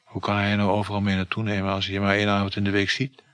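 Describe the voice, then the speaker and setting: An actor in a radio play voicing an insecure, jealous married lover, hesitant and questioning, muttering asides. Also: male voice, lowish voice, monotone, very fast, fairly bright tone, clear, resonant tone, dry recording, lightly acted. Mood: jealous, sceptical. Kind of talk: naturalistic radio play acting.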